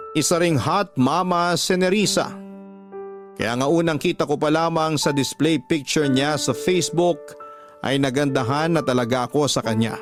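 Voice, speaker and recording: A man narrating a radio drama in the first person as an unrepentant womanizer, in measured, confessional storytelling. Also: on the high side, natural voice. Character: unrepentant womanizer confessing his affairs in a letter.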